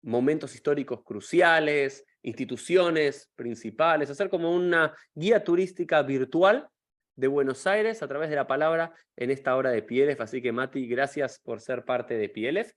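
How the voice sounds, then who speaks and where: A man's voice: mid-range voice, moderate pace. A man hosting an interview podcast, asking long, stacked, rambling questions. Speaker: podcast host.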